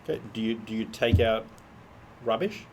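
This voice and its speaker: A man's voice: lowish voice, normal speed, noisy background. Dry, teasing young man. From Australia. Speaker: co-host. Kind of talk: casual podcast chat.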